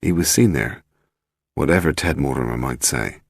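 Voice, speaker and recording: A man's voice: extremely low pitch, moderate pace, vocal fry, no echo, clean studio sound, voice pushed down, full character voice. A man voicing a grim, terse English chauffeur-handyman who speaks reluctantly and with deference. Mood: curt, pointed.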